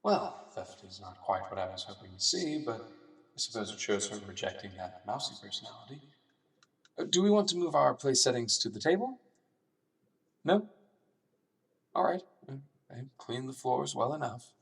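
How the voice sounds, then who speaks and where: A man's voice: medium pitch, sing-song, moderate pace, creaky, long pauses, roomy sound, far from the mic. An actor in a radio drama playing an educated, ambitious male doctor, patient but proud and irritable, often hesitant and muttering. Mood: flustered, exasperated. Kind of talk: radio drama acting with inner monologue.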